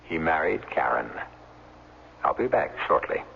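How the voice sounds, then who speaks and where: A man's voice: rumbling low, big pitch swings, measured pace, creaky, a little hiss or hum. A man hosting and narrating a radio mystery program in a formal, theatrical storytelling style. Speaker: host and narrator. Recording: tinny 1970s radio broadcast, music behind.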